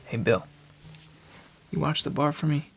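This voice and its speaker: A man playing a chatty, nervous bartender in an ASMR noir roleplay, speaking softly and often in whispers with tough-guy slang. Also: fairly high pitch, expressive, measured pace, gravelly.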